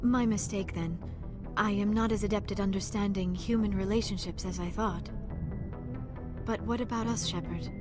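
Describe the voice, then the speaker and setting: A woman plays an earnest young alien scientist from a video game. She is gentle and formal, choosing careful words without contractions, at a middling pitch. Music plays clearly behind her. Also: fairly even intonation, normal speed, lightly acted. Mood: shy, confessing. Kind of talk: video game dialogue acting.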